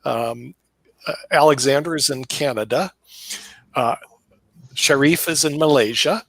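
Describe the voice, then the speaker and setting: A man's voice: on the high side, unhurried, vocal fry, a bit bright, no echo, low-quality recording. A man hosting a casual video call, talking in a rambling way with many fillers, restarts and laughs. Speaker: host.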